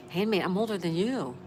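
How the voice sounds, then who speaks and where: A woman's voice: fairly low pitch, noisy background. A woman in her sixties hosting a casual livestream, chattily reading out comments and greeting viewers. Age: 60s. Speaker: livestream host.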